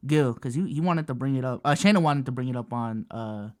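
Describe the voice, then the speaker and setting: A man co-hosting a podcast, recounting things in casual banter with a halting, filler-heavy delivery. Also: medium pitch, darkish tone, no echo, clean studio sound. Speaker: podcast co-host.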